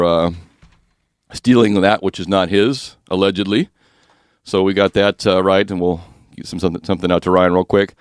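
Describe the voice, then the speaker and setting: A man hosting a finance talk radio show, speaking with a slightly rough, husky voice and explaining mortgage rules in a chatty, joking way. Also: low-pitched, no room sound, studio quality.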